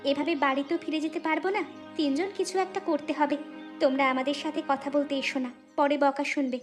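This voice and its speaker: Cartoon casting: woman as proud young wife, wronged and protesting, high quick delivery, music behind. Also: fairly even intonation, close to an everyday voice. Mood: hurt, firm. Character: wronged young daughter-in-law, indignant, proud. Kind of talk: melodramatic cartoon story acting.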